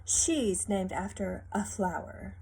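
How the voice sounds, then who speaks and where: A woman's voice: medium pitch, takes it slowly, darkish tone, breathy edge, full character voice, poor audio. A woman voicing a snooty, mocking little schoolgirl in a storybook, with a taunting delivery.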